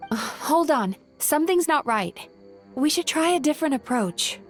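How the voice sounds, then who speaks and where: A gentle young lady for an anime game. Her voice sits high and moves with lively inflection, while her manner stays mild and reasonable. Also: normal speed, soft background music. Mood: hesitant.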